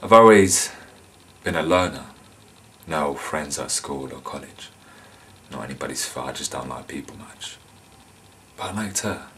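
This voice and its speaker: An actor playing an insane, menacing killer and resentful loner, confessing in a volatile dramatic monologue. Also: male voice, rumbling low, lively intonation, measured pace, pauses often, slight background noise, full character voice. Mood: brooding. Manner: confessional, volatile, swinging from hushed to explosive.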